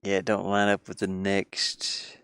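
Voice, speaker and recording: A man with a fry-laden voice talks at an unhurried pace on a live stream. He rambles casually and mutters to himself while he works. The sound is close and dry, as from a streaming mic. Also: low-pitched, completely flat delivery, a bit bright, dry recording.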